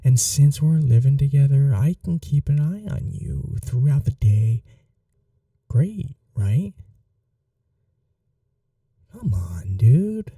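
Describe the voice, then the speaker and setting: Man playing a smug, dark-voiced mad scientist roommate. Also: medium pitch, dry recording, studio quality, far from the mic, full character voice. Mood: coaxing, eager. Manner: casual, coaxing, condescending, self-satisfied. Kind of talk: ASMR audio roleplay, intimate acted monologue.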